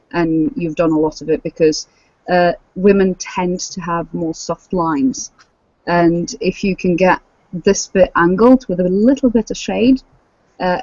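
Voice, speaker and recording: A woman's voice: on the low side, darkish tone, dry recording. A woman co-hosting a casual podcast chat, explaining practical tips. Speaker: cosplayer and podcast co-host. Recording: dull lo-fi dry audio.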